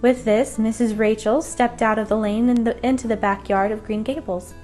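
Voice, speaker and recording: High-voiced woman reading a bedtime story. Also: fairly even intonation, moderate pace, a little mellow. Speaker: storybook narrator. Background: music.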